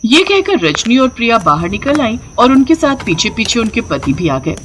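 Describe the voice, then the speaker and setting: A woman's voice: a little high, sing-song, normal speed, a bit bright, slight background noise, low-quality recording. A woman narrating a scripted moral story as the storyteller.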